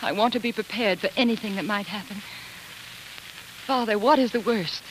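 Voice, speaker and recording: Headstrong young wife in old radio drama, played by an actress. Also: female voice, mid-range voice, moderate pace, noisy background, natural voice. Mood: determined. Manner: earnest, emphatic, theatrical delivery. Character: headstrong young American wife, devoted, defiant, determined. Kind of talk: 1940s radio drama acting. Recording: 1940s radio broadcast with music.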